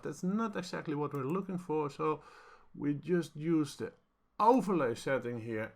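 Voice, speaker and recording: A man hosts a casual software tutorial, talking in a hesitant, halting way as he thinks aloud. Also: mid-range voice. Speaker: tutorial host.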